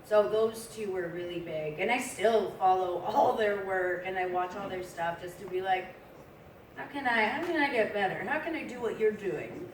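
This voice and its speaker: A woman with a raspy voice, a voice actress, answering fan questions in a casual, self-deprecating way. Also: mid-range voice, normal speed, slight background noise. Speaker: voice actress, convention guest. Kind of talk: panel Q&A answers. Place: large convention hall. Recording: echoey hall, distant mic.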